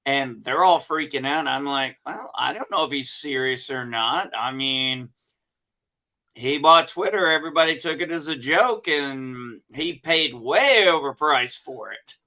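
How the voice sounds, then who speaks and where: A man talking slowly, his voice bright and forceful. He drifts through stories at an easy pace with restarts, in a casual podcast chat. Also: mid-range voice, lively intonation. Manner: slow, rambling storyteller with restarts.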